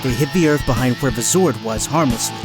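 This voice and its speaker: A man's voice: mid-range voice, brisk, loud music behind the voice. A man reading scripted dramatic narration for an audio drama.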